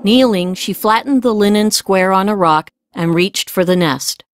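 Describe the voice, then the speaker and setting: A woman reading scripted fiction as an audiobook narrator, with measured storytelling delivery. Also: mid-range voice, no room sound, studio quality.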